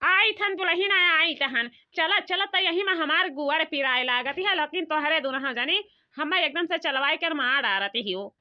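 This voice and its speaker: Woman playing a high-voiced grumbling old village mother-in-law. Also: moderate pace, close to an everyday voice. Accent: Awadhi. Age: older. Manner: complaining, rambling rustic talk. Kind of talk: comic cartoon dialogue. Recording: dull lo-fi sound.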